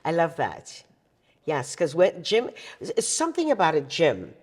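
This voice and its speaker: A woman hosting a talk show interview in an affirming, expressive way. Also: low-pitched, unhurried, husky.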